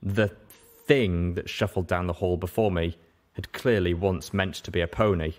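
Deep-voiced man narrating a story. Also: fairly even intonation, measured pace, a little mellow. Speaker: audiobook reader. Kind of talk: first-person story narration.

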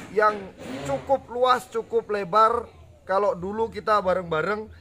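A man's voice: high voice, fairly bright tone, a little hiss or hum. A young man talking to camera in a casual promotional vlog, earnest and persuasive, inviting viewers to come and learn. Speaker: farm owner giving a promo talk. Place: outdoors by a garden fence.

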